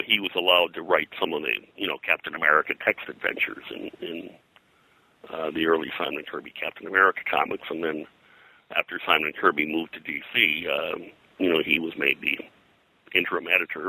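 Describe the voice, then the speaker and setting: An older man talking in a rambling interview style, halting and digressive as a guest historian. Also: a bass voice, steady tone, gravelly, pushed and bright, husky, dry recording, rough recording. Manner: halting, digressive, frequent "you know". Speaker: guest, pop culture historian.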